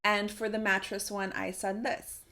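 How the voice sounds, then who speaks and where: Young female vlogger, medium pitch, chatty and casual, explaining step by step to viewers. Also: level tone, moderate pace, over loud music. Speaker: voice actor and video creator. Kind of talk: casual tutorial vlog to camera.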